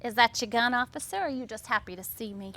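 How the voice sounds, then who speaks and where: A woman's voice: a little high, fast, pushed and bright. A woman playing a teasing, loving 1950s housewife with dry sass in a staged play reading. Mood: supportive.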